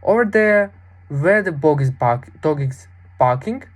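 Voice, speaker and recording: A woman's voice: deep voice, expressive, unhurried. A woman voicing a timid, frightened young boy in a fairy tale, earnest and anxious. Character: lost young village boys, timid and frightened.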